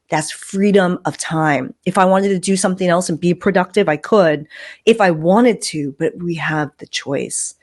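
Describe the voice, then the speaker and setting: A woman's voice: sits low, a little mellow. A woman hosting a podcast, speaking casually and emphatically, with a conversational "like" often dropped in. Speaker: podcast host.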